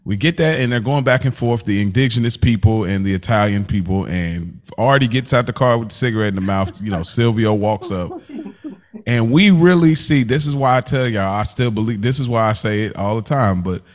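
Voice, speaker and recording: A man's voice: lowish voice, a little fast. A man hosting a podcast, casually recapping a TV show in slangy, informal storytelling. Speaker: podcast host.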